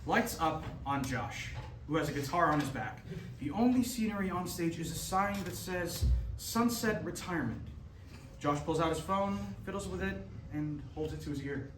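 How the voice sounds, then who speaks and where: A man's voice: fairly high pitch, lively intonation, normal speed. A man reading scripted stage directions as narrator at a staged reading. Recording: echoey room, distant mic, music.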